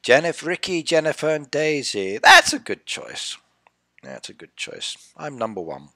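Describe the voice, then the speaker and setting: A man's voice: a little high, very animated, moderate pace, sharp tone, dry recording. A middle-aged man chatting casually on a game live stream, playfully reading and answering chat. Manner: chatty, playful, reads chat aloud and teases viewers. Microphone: headset with desk mic.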